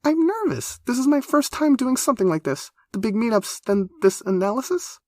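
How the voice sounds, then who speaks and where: A woman's voice: a little high, big pitch swings, moderate pace, no echo, studio quality, voice pushed up. A man reading a story, voicing a bubbly, nervous young woman who gushes and trips over her words. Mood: nervous, gushing. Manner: gushing, flustered, loud.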